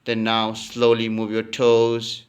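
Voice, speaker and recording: Very slow, smooth-voiced man guiding relaxation. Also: lowish voice. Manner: calm, instructional, repeating phrases. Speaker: yoga nidra teacher. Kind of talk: guided relaxation meditation.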